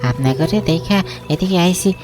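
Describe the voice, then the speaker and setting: Young rustic boatman, played by a man, pitched up, deferential, clear music behind. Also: measured pace. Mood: polite, eerie. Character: young rustic night ferryman, polite to passengers.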